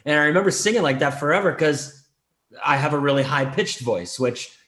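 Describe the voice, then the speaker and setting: A middle-aged man hosting a podcast, chatting quickly with animated asides. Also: on the high side. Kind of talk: casual podcast chat. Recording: roomy echo.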